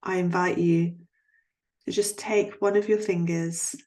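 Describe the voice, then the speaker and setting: Low-pitched woman guiding a relaxation meditation. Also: fairly even intonation. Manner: soothing, gently instructing, with long pauses. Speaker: yoga nidra relaxation guide.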